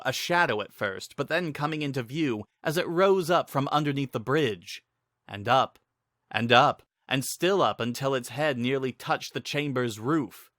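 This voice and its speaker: A man's voice: medium pitch, fairly bright tone, no room sound, studio quality. A man giving a dramatic scripted narration of a fantasy adventure story.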